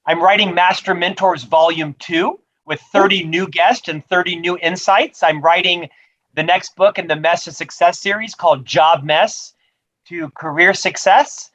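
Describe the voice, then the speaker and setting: Brief: upbeat male author guest, high voice, dull lo-fi call audio. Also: a little slow, fairly bright tone. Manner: upbeat, promotional, says he stutters but talks fluently. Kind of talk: rapid-fire interview answers.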